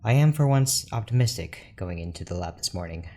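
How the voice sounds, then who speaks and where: A man playing a reserved, formal research doctor in an audio drama, speaking in a measured, dry manner. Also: low-pitched, animated, mellow. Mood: guarded, reflective. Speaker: scientist character in an audio drama. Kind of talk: scripted audio drama monologue and dialogue. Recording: clear background noise with ambience.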